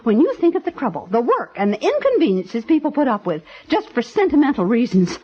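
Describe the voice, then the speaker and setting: An actress playing a fussy, easily offended older aunt in a 1950s radio drama, fretful and emphatic. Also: female voice, sits high, big pitch swings, brisk, slightly rough, heavily acted. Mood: indignant. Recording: dull vintage 1950s radio recording.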